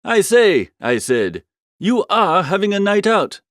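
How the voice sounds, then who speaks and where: A man voicing an amiable, flustered upper-class young gentleman, chattily narrating a comic audiobook in old-fashioned slang. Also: high voice, very animated, unhurried, dry recording, clean studio sound. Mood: amused narrating.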